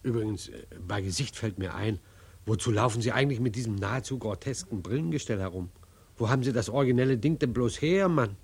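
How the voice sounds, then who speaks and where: An actor playing a pompous, patronizing theatre director, declamatory and condescending, in 1940s German radio drama style. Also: male voice, mid-range voice, fast, a little mellow, breathy edge. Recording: vintage 1940s radio recording.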